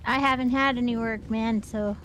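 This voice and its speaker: A woman's voice: a little high, fairly even intonation, moderate pace, very noisy. A woman co-hosting a game stream with casual, conversational talk. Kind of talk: casual gaming chat.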